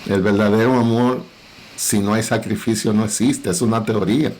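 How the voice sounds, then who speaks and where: Middle-aged man, Dominican accent, pastor, low voice, earnest, faint background hum. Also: fairly even intonation, moderate pace, a little mellow. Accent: Dominican, Cibao. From Dominican Republic.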